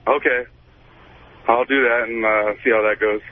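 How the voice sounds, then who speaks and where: A man's voice: medium pitch, steady tone, moderate pace, dry recording. A man calling a radio show, confessing casually and a little hesitantly. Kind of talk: casual confessional phone chat. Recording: thin, dry telephone line.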